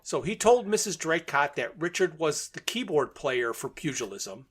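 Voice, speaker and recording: Higher-voiced man recapping a story. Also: big pitch swings, normal speed, a bit bright, breathy edge. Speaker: podcast host recapping a radio drama. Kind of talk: episode recap in conversation.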